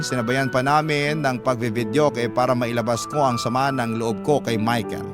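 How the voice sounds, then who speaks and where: A Filipino man narrates a radio love story in the first person. His voice sits at a medium pitch, with music playing clearly behind him. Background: prominent background music.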